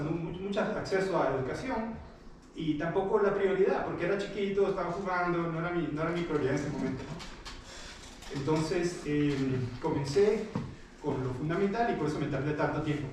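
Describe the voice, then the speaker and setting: Man, 40s, from Guatemala, guest speaker; a higher voice, hesitant, picked up from afar in a reverberant room. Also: far from the mic, slight background noise. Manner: hesitant, many fillers and restarts, friendly. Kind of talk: informal talk to students. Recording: echoey hall, picked up from afar.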